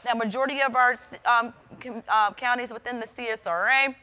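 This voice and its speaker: A woman's voice: a little high, steady tone, brisk, sharp tone. A woman weather forecaster presenting an explanatory how-to product demonstration, speaking directly to the viewer. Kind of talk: instructional product demonstration to camera.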